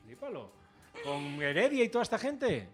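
A chatty man runs a relaxed radio interview, his voice sitting a little high for a man. He jokes and fires off quick follow-up questions. Soft music plays faintly underneath. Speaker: radio host.

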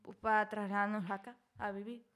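A woman's voice: mid-range voice, level tone, brisk, a bit bright. A woman in her twenties from Spain, a radio guest who gives brief, shy answers. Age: 20s. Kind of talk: casual radio interview.

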